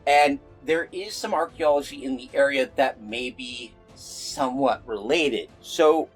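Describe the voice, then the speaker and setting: A man's voice: medium pitch, slightly rough. Conversational man narrating over music. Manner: conversational, self-deprecating. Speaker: YouTube host of mythology and folklore videos. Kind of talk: informal explainer narration.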